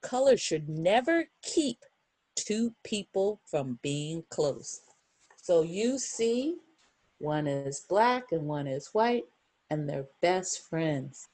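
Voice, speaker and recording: A woman reads a children's picture book aloud in a lively, swooping voice. She takes her time and breaks off for encouraging asides and questions to young listeners. The sound is close and dry. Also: fairly low pitch, takes it slowly, no echo. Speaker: children's storybook reader.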